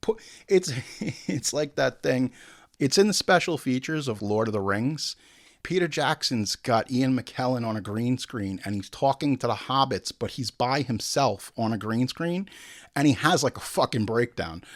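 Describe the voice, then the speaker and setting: A man's voice: mid-range voice, expressive, moderate pace. A man hosting a film commentary show, irreverent and sweary, drifting into comic tangents and acted-out asides. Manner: irreverent, sweary, digressive, mimics people in asides.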